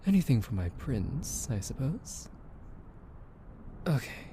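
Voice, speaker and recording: A young man in a soft ASMR roleplay, gently soothing and teasing the listener with soft laughs, hesitant fillers and the odd whispered aside. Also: mid-range voice, big pitch swings, takes it slowly, a little hiss or hum.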